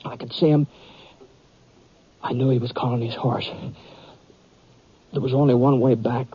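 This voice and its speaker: An actor playing a wounded, plain-spoken gunfighter who narrates haltingly in an old-time radio western. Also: male voice, mid-range voice, fairly even intonation, lightly acted. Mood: weak, straining. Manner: halting, folksy drawn-out storytelling. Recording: muffled old radio recording with hiss.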